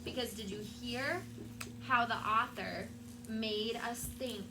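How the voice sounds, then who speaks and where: High-voiced woman teacher reading aloud. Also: takes it slowly. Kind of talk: storybook read-aloud with class questions. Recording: clear background room noise.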